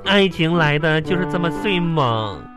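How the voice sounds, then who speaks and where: A woman's voice: low-pitched, lively intonation, over music. A woman playing a melodramatic, affected young single woman who puts on refined, coy politeness in a live comedy radio drama. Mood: smug, coy. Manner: pompous, coy, mock-polite, drawn-out exclamations. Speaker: radio host performing a character.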